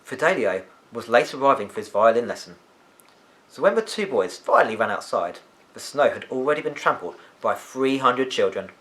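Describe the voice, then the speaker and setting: A man reads a children's novel aloud as a storyteller. His mid-pitched voice moves up and down a great deal, giving the narration an animated, expressive feel. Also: moderate pace, low-quality recording.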